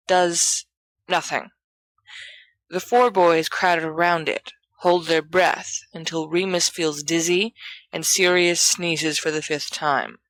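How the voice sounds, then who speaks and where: A woman's voice: low voice, creaky, a bit bright, no room sound, rough recording. A woman reading scripted fiction aloud as an audiobook narrator. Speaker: audiobook reader.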